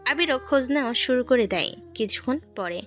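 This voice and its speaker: A woman tells a scripted story as its narrator. Her voice is fairly high, and music plays underneath. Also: lively intonation, fairly quick, a bit bright.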